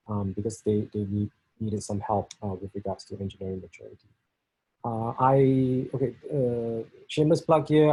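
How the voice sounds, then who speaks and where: A middle-aged man from Singapore with a Singaporean accent, giving a talk over a video call, halting with many fillers and restarts. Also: lowish voice, mellow, slightly rough, low-quality recording. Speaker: guest speaker.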